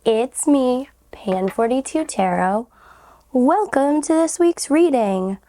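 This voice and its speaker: A young woman hosting a tarot reading, her voice raspy and hoarse from a cold, chatting casually with giggles and lots of "like" and "um". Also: sits high, animated, slow, warm tone. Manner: rambling, giggly, many fillers like "like" and "um". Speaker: tarot reader, channel host.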